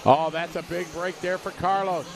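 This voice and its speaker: A man calling a radio-controlled car race live, giving quick play-by-play and reading out viewer messages. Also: high-pitched, fairly even intonation, noisy background. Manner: rapid play-by-play, reads viewer chat aloud. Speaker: race commentator.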